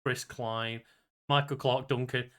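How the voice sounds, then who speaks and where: A man's voice: mid-range voice, flat delivery, slow pace, a bit bright, smooth. A man co-hosting a podcast in casual, conversational banter. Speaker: podcast co-host.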